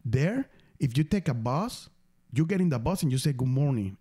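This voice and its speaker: A man from Venezuela, a non-native English speaker, hosting a casual podcast chat, swearing freely and telling stories in animated, broken English. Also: medium pitch, moderate pace. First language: Spanish. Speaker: podcast host.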